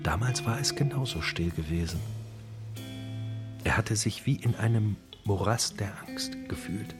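A brooding male narrator tells of a prisoner's inner life in a low voice. The delivery is intense and literary, in the manner of a dramatic radio play, with guitar music clearly behind it. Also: expressive, measured pace. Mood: brooding, hushed.

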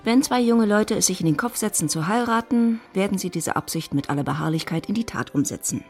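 Female narrator, literary audio drama. Medium pitch, gravelly edge, soft music bed. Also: animated, a little fast.

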